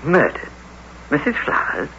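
An actor playing a suave, dismissive pub landlord with an urbane, condescending manner in an old-time radio drama. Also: male voice, unhurried, bright, forceful tone. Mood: polite, guarded. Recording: dull, noisy vintage radio recording.

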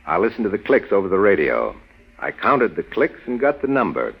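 An actor playing a shrewd, confident adventurer-detective in a 1940s radio drama, on an old broadcast recording. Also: male voice, fairly low pitch, very animated, a little fast, vocal fry, breathy edge, heavily acted. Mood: calm, explaining. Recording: dull lo-fi vintage radio recording.

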